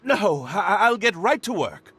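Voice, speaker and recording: A man's voice: sits high, big pitch swings, a little slow, a bit bright, soft background music, exaggerated voice. Flustered, jumpy, apologetic helper guy. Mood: flustered eager. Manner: flustered, stammering. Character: nervous, apologetic, eager-to-please male troublemaker turned helper. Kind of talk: video game cutscene voice acting.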